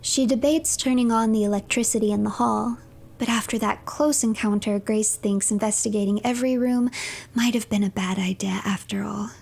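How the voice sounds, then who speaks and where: A woman narrating a video game as a story in a scripted third-person style, storyteller-like, often dropping to a hush at the ends of phrases. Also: medium pitch, fairly even intonation, normal speed, gravelly. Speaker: streamer narrating game playthrough.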